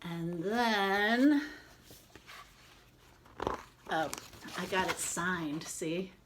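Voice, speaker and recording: A woman reading a picture book aloud to children, expressive, with casual chatty asides to explain it. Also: lowish voice, drawn-out words, noisy background. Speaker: children's read-aloud presenter.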